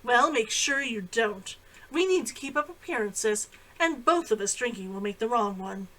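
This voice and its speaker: A woman's voice: fairly high pitch, lively intonation, normal speed, a bit bright, rough recording. A woman reading a storybook part as a bossy noble elder sister, formal and reproachful. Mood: stern, scolding.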